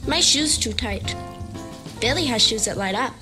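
A woman plays a scatterbrained little girl from a comic. She chatters on insistently in a high, childlike voice that bounces up and down, with music playing behind her. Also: expressive, normal speed, natural voice. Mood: chattering. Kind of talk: motion comic voice acting.

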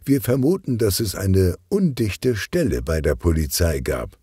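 A man voicing a sporty, friendly police officer in a children's audiobook, with an animated, earnest manner. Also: sits low, a little slow, breathy edge, no echo, clean studio sound, exaggerated voice. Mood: earnest, concerned.

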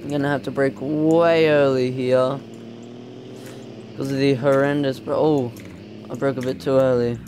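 A man's voice: medium pitch, little pitch movement, moderate pace, pauses often, a little mellow, a little hiss or hum. A man giving casual, off-the-cuff commentary as a gaming video host, in a hesitant, rambling way. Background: car engine sounds from a driving game.

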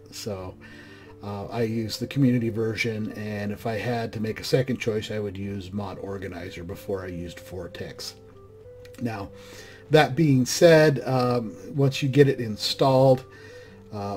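A hoarse man hosting his own mod channel, talking through a screen-recorded software tutorial in a loose, conversational way full of "uh" and restarts. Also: fairly low pitch. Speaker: mod review channel host.

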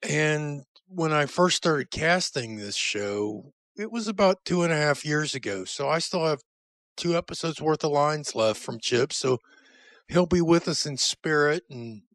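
A man giving a solemn, sincere spoken tribute as a producer, in a halting way. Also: a little high, lively intonation, fairly bright tone, no room sound. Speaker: audio drama writer and producer.

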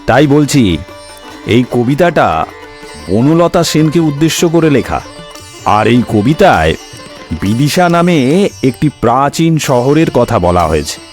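A man tells a comic cartoon story. He speaks slowly and wryly, with theatrical swings in pitch. Faint music sits behind the voice. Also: very animated. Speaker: storyteller host. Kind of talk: scripted comic cartoon narration.

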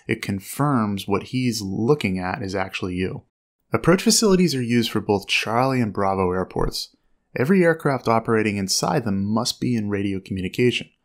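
Male explainer narrator, lower pitch, measured instructional read. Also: gravelly.

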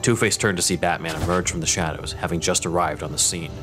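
A man giving scripted dramatic narration in a measured, solemn way. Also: low voice, steady tone, music in the background.

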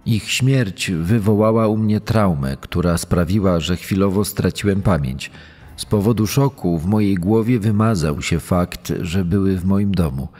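Actor as deep, dark-voiced accused killer testifying. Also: male voice, measured pace, soft background music. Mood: grave. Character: male accused child killer giving self-justifying court testimony. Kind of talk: dramatised testimony in true crime audio. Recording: long echoing hall reverb.

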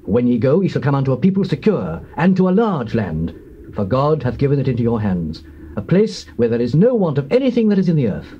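Man acting a bold, declamatory ancient scout, animated. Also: medium pitch, a little fast, natural voice. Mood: urging. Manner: declamatory, archaic diction. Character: bold, rousing male Danite scout of ancient Israel. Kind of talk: dramatized King James Bible acting. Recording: dull, noisy old cassette dramatization.

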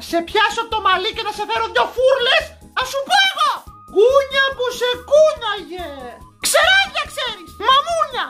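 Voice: very high voice, animated, pushed and bright, soft background music, exaggerated voice. A performer voicing a nagging, melodramatic Greek mother in a comic recital, exasperated and full of theatrical guilt-tripping. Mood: nagging, threatening. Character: Voulitsa, shrill melodramatic scolding Greek mother character. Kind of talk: comic recital of stock parental catchphrases.

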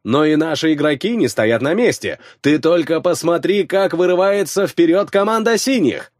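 An excitable male esports commentator, acted out by a man reading an audiobook, calls the match emphatically. The voice is pushed up high and hyped, in a dry recording. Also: normal speed, no room sound, lightly acted. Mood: excited, hyped. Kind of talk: audiobook character voice, game commentary.

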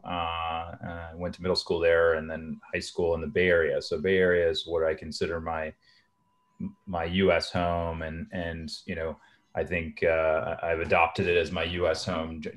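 A man in his thirties from Europe, a guest on a video call from a small home office, talking in a measured, candid way. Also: low voice. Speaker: venture capital guest. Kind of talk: casual video call interview.

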